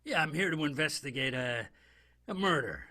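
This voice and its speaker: An actor playing a wistful 1920s New York police detective in an improvised comic radio play, with halting, exclamatory speech. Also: male voice, fairly high pitch, animated, heavy background noise. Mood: earnest, hesitant. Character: male 1920s NYPD detective, wistful war veteran.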